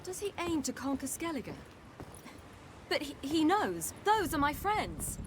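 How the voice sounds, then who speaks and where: A woman's voice: high-pitched, very animated, measured pace, background noise. A woman with a British accent playing a headstrong, fiery young warrior in a fantasy video game. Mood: urgent. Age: young adult. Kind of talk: video game cutscene acting.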